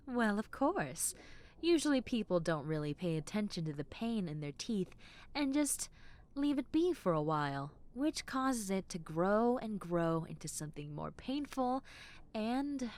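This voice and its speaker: A young adult woman voicing a kind, caring dentist in an audio roleplay, speaking softly and reassuringly, often dropping into a whisper, with a playful, teasing edge. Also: medium pitch, sing-song, measured pace. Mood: soothing. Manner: soft, gentle and reassuring, slipping into whispers; playful, with frequent soft giggles. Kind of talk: ASMR roleplay.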